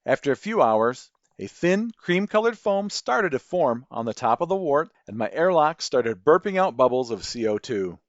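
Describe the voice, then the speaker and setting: A man's voice: mid-range voice, sing-song, moderate pace, hardly any pauses, no echo, lo-fi sound. A man with a Midwestern American accent reads scripted narration as the host of a podcast, enunciating carefully with folksy turns of phrase.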